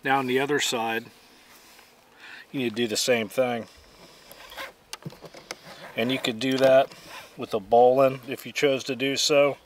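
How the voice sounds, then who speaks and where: A man's voice: mid-range voice, little pitch movement, a little slow, long pauses, slight background noise. A middle-aged man giving methodical, plainspoken how-to instruction outdoors as he demonstrates gear. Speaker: host and demonstrator.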